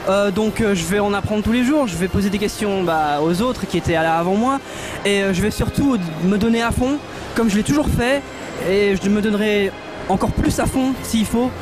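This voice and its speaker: A young man speaks in a high voice, halting and emotional as he hunts for words. He is interviewed with a handheld mic in a big convention hall, with music clearly behind him. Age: young adult. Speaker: contest winner interviewed. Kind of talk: casual interview answers. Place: large indoor convention hall.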